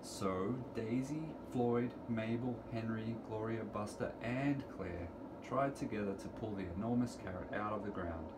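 A middle-aged man reading a children's picture book aloud to preschoolers in deliberate, sing-song storytelling phrasing, recorded in a room at home. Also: fairly low pitch. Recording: clearly noisy home recording, room ambience.

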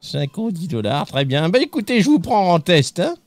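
A man doing a pompous, theatrical gentleman. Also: sits high, very animated, normal speed, no echo. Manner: pompous, theatrical, mock-offended. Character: pompous, eccentric gentleman flirting with townsfolk. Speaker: streamer. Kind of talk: in-character roleplay.

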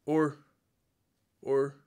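A man's voice: mid-range voice, monotone, drawn-out words, a little mellow, clear, resonant tone. A man voicing an indecisive, childlike, friendly monster puppet for a kids' show, exclaiming and drawing out words as he dithers. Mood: torn, dithering.

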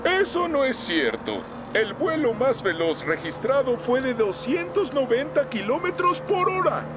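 A man's voice: very high-pitched, very animated, a little slow, full character voice. A man voicing a pompous, easily flustered older bus driver in a cartoon, with a Latin American Spanish accent. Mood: pedantic. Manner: pompous, dismissive. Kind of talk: animated cartoon dubbing. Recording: loud music and vehicle sounds behind.

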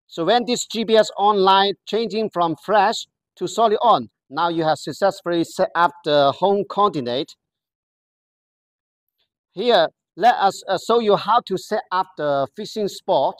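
A presenter gives a product walkthrough in careful, halting, non-native English, pausing often to find words. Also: mid-range voice, no room sound, clean studio sound. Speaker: product demonstrator.

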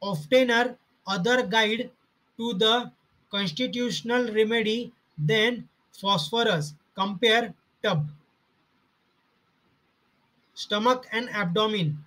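Slow, bright-voiced man reading notes. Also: high-pitched, poor audio. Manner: halting, reads items in short fragments. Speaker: homeopathy study narrator.

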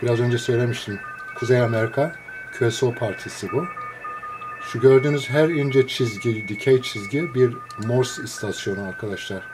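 A man, a radio hobbyist, explains a receiver in a casual, unscripted walkthrough, pausing between short phrases. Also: medium pitch, over music, low-quality recording. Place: indoors, filming a computer screen.

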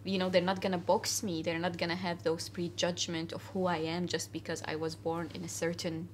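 Young woman from syria chatting in a cafe, lowish voice, lots of uh and like, faint room hum. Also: level tone, brisk. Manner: hesitant, many fillers, earnest. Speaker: interview guest. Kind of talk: casual conversational interview. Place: cafe, indoors.

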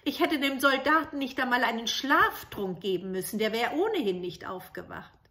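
A woman's voice: on the high side, expressive, moderate pace, sharp tone, pitched above the performer's own voice. A woman voicing a haughty eldest princess in a fairy tale, scornful and falsely sweet. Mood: mocking.

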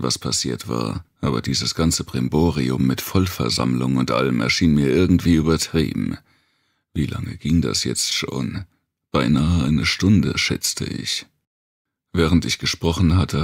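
A man with a gravelly, husky voice, giving a brooding, deliberate first-person audiobook narration of dark fiction. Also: rumbling low, no echo, clean studio sound.

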